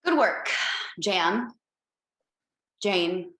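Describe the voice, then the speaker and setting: A woman's voice: medium pitch, animated, drawn-out words, a bit bright. A woman playing a brash, self-absorbed company boss in a comedic table read, breezy, bossy and profane. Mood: breezy, boastful. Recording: roomy home video-call audio.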